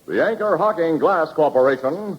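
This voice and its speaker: Animated male 1940s radio announcer. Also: a little high, normal speed. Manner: polished, emphatic. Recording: muffled 1940s radio recording.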